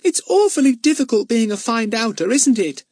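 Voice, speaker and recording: Man doing an eager, high-pitched young girl. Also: lively intonation, normal speed, darkish tone, dry recording, studio quality. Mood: rueful. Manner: earnest, questioning. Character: eager, inquisitive young girl detective, earnest and self-important. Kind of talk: acted children's audiobook dialogue.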